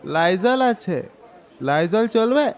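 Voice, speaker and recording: A man's voice: high voice, lively intonation, slow pace, big-room sound, exaggerated voice. A man playing a polite neighborhood shopkeeper in an audio story, serving a customer in brief, businesslike phrases. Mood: obliging. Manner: obliging, brief transactional phrases.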